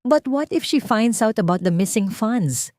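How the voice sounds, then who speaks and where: Woman acting out sly mistress, sugary, taunting, animated pitch, dry audio drama sound. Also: unhurried, dry recording. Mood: scheming worry. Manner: sugary, switching between English and Tagalog. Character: sweet-voiced, venomous young mistress, sly and taunting.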